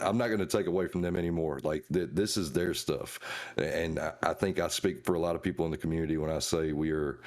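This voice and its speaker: Man, extremely low voice. Folksy, emphatic guest on a casual panel. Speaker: guest video creator.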